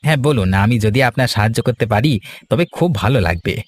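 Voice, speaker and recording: A man's voice: medium pitch, expressive, fairly quick, husky, no echo. Man acting sly, glib village swindler. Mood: ingratiating. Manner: glib, persuasive, scheming. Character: sly, smooth-talking village swindler, greedy male trader. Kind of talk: cartoon fairy-tale dialogue. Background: background music.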